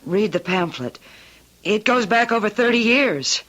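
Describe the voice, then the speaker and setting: Radio mystery client: guilt-ridden daughter, sharp-edged tone, earnest and confiding. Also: female voice, medium pitch, expressive, a little slow. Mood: ashamed, pained. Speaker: actress playing a client. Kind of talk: old-time radio drama acting.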